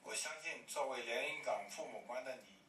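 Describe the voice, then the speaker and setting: A man's voice: mid-range voice, a little fast, bright, forceful tone, slight background noise. A man reading a letter aloud in an earnest, formal way. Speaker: reader of a letter. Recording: distant voice in an echoey hall.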